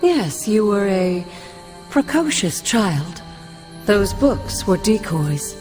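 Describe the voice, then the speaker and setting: The ghost of an older female mentor in a video game cutscene. She is stern yet caring, with formal, measured, commanding delivery at a medium pitch. Music plays behind her. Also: sing-song. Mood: solemn.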